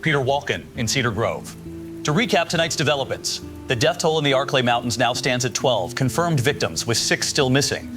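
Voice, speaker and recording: Male news anchor, acted for audio drama: composed, formal, brisk bulletin delivery over music. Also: mid-range voice, lively intonation, close to an everyday voice. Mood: composed, serious. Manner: formal, measured newsreader delivery.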